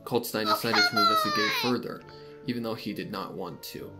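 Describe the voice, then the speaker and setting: A man's voice: medium pitch, wildly swooping pitch, brisk. A man reading fan fiction aloud as a dramatic, scripted story narration. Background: background music.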